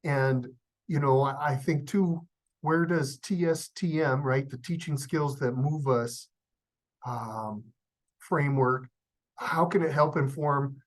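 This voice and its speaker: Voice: medium pitch, slow pace. A workshop facilitator reflecting on the discussion so far, thoughtful and searching for words.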